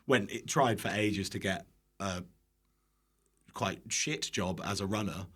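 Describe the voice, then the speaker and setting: A man's voice: fairly low pitch, measured pace, a bit bright, breathy edge. A young man with a British accent chatting casually as a podcast guest, self-deprecating and prone to swearing. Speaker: podcast guest, online cooking creator. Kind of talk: casual chat.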